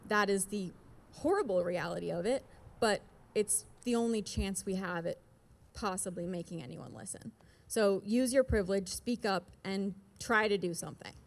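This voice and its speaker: Woman, urgent, exhorting, mid pitch, outdoor rally. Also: normal speed, gravelly, slight background noise. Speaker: rally speaker.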